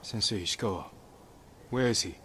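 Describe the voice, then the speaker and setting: A man's voice: on the low side, a little fast, fairly bright tone, slight background noise, natural voice. A man playing a stoic, dutiful younger samurai in a video game, with a serious, restrained, clipped delivery. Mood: wary. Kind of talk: dramatic video game acting.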